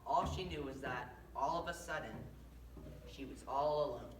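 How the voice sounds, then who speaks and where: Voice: medium pitch, normal speed. A narrator reading scripted story lines aloud in a readers theater performance. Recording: echoey room, distant mic, faint noise.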